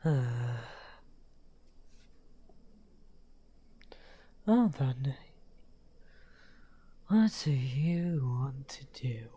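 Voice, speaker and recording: A man playing a lonely, misunderstood evil wizard in an ASMR roleplay, speaking softly and courteously in a breathy, whispery, halting voice. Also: medium pitch, animated, exaggerated voice. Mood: hesitant, polite. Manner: slow, halting, musing.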